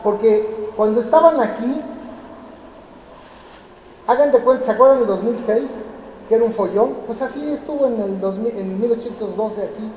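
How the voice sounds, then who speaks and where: A man in his fifties from Mexico lectures a roomful of teachers, lively and questioning, pressing every point. His voice is mellow and dark. It rings out through a large hall with a long echo, caught by a camera some way off. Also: high voice, slight background noise. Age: 50s. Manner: lively, asks the audience questions and drives points home. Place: large roofed hall with metal roof beams. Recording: echoey hall, camera at a distance.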